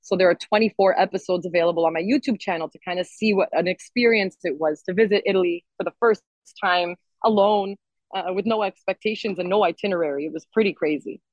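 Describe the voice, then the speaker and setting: A woman's voice: fairly low pitch, fast, no room sound, dull audio. A woman singer-songwriter chatting casually and enthusiastically as an interview guest. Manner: enthusiastic, expressive.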